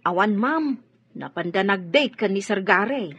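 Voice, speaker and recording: An actress playing a polite, chatty household maid in a radio drama. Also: female voice, medium pitch, expressive, a little slow, fairly bright tone, slightly rough, exaggerated voice. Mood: polite, welcoming. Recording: dull radio sound, faint music.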